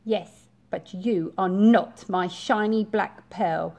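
A woman's voice: medium pitch, slow pace, a little mellow. A woman voicing a romantic, easily hurt female caterpillar in a storybook, with emphatic delivery. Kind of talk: children's storybook read-aloud.

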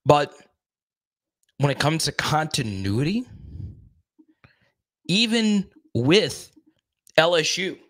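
A man in his thirties hosting a casual live stream, talking into a studio mic in a small room, animated and quick to repeat himself while reading out chat comments. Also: a little high. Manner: animated, repeats words, reads chat aloud. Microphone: studio mic on boom arm in front.

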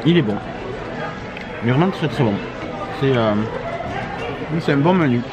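A man's voice: medium pitch, animated, normal speed. A man in his thirties chatting casually as a vlogger, repeating himself and tagging his phrases. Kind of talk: casual vlog chat. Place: large wooden restaurant hall, indoors. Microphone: handheld camera at arm's length. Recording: busy restaurant crowd noise.

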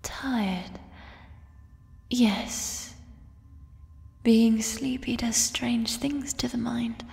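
Whispering giant troll girl, played by a woman, shy and nurturing, very slow and mellow, for fantasy ASMR roleplay in deep reverb. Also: fairly even intonation, heavily acted. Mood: gentle, bemused. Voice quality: soft, breathy whisper. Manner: slow, formal old-fashioned phrasing, tender. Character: gentle, shy giant female mushroom troll, tender and nurturing. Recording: long reverb, music behind. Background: fantasy ambience music.

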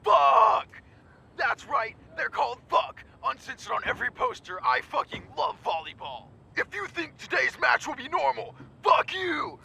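A man's voice: extremely high pitch, big pitch swings, a little slow, gravelly, pushed and bright, a little hiss or hum, exaggerated voice. A man voicing a manic, bombastic, foul-mouthed sports announcer in an over-the-top cartoon parody commentary. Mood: manic, gloating. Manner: profane, bombastic, hyped.

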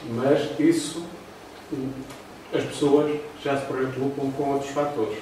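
A man's voice: mid-range voice, steady tone, slow pace, darkish tone, a little hiss or hum. Older Portuguese man lecturing in echoey room. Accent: European Portuguese. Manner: talks through slides, hesitant fillers. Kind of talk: formal lecture presentation. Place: classroom with projector. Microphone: far room camera. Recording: roomy echo from far off.

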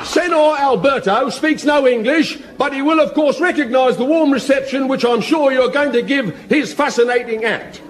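An actor playing a pompous variety-show compere in a vintage radio comedy. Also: male voice, very high-pitched, normal speed, few pauses, a little hiss or hum. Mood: grand. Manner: grand, pompous announcing.

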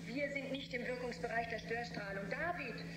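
A woman's voice: a little high, moderate pace, close to an everyday voice. An actress playing an urgent, determined woman scientist aboard a spaceship in a German science-fiction radio drama. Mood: urgent, pleading. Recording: loud ship noise, somewhat echoey.

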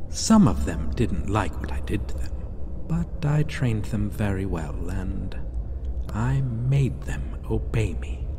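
Casting: actor as obsessive gothic vampire lover for ASMR roleplay; hushed, breathy, very slow and drawn-out, doting yet menacing, over noisy ambience. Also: deep voice, big-room sound. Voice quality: soft, breathy, often whispered. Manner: slow, drawn-out, intimate, theatrical delivery. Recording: clearly noisy background ambience.

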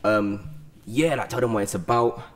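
A man's voice: lowish voice, normal speed. A young man hosting a casual interview in an informal manner. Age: young adult. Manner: informal, reacting with disbelief. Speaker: interview host. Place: bright loft studio with sofa, indoors. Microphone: handheld microphone.

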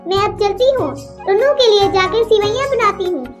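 A woman's voice: extremely high pitch, normal speed. A woman doing a chirpy, friendly songbird. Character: kind little female songbird, friendly. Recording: cartoon with music behind.

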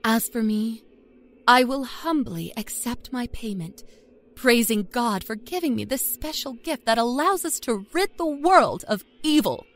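A woman's voice: fairly high pitch, expressive, measured pace, fairly bright tone. A woman playing a smug, sly middle-aged small-town fake psychic, telling her horror story in a folksy, confiding, taunting manner. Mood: calm menace. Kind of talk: dramatic first-person horror story narration.

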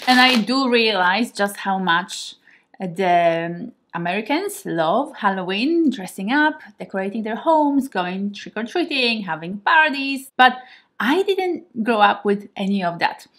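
A Polish-born woman in her thirties, a native Polish speaker, chatting to the camera at home. She talks in a relaxed, reflective way and laughs easily. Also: mid-range voice, measured pace. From Poland. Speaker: vlogger. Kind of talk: casual talk to camera. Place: home kitchen and living room, indoors.

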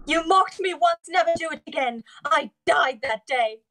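A woman's voice: high voice, lively intonation, moderate pace, bright, forceful tone, full character voice. A woman playing a proud, passionate young noblewoman in a screenplay table read. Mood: defiant.

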